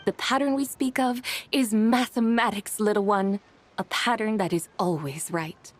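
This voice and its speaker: A woman voicing a calm, dignified, literal-minded scholarly woman in a video game, speaking in a formal, measured, matter-of-fact way. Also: medium pitch, background noise, close to an everyday voice. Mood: assured.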